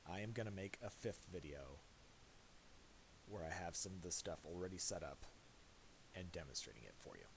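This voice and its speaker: A man narrating a casual software tutorial, thinking aloud in a halting way. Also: sits low, animated. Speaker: tutorial presenter. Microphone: voice-over for a screen recording. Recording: hissy amateur voice-over.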